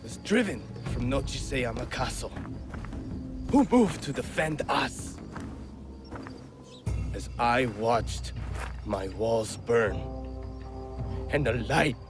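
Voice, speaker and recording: A man voicing a brooding, poetic exiled warlord in a video game, measured, solemn and bitter. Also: mid-range voice, expressive, over music. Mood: bitter grief. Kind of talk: dramatic video game acting.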